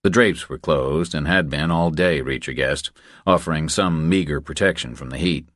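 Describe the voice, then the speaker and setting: A man's voice: extremely low pitch, normal speed, fairly bright tone, dry recording, clean studio sound. A man reading scripted thriller narration as an audiobook narrator.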